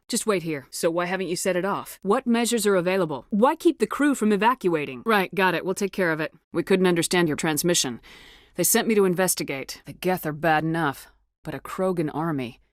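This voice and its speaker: Casting: woman to play hardened female military commander for a sci-fi game. Lowish voice, firm and clipped, dry close studio sound. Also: no room sound, close to an everyday voice. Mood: grim, businesslike. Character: tough, commanding female space marine commander, adult, no-nonsense. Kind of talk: scripted video game dialogue acting.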